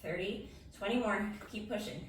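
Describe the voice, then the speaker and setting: A woman's voice: medium pitch, moderate pace. A woman leading a home workout with a lingering cough and a phlegmy throat, counting reps and encouraging listeners. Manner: encouraging, counts reps aloud while exercising. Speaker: fitness instructor. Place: home room. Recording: very echoey room, distant mic, faint noise.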